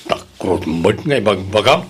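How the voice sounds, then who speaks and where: A man with an Ilocano accent playing a defensive, exasperated village captain and husband in an audio drama, his voice husky. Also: fairly low pitch, expressive, measured pace. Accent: Ilocano, northern Philippines.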